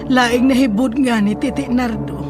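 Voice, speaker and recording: A woman's voice: on the high side, steady tone, moderate pace, natural voice. An actress with a Filipino Visayan accent playing a distraught widow who tells her own tragedy in a horror audio drama. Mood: somber recounting. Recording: loud music over the voice. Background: loud dramatic music.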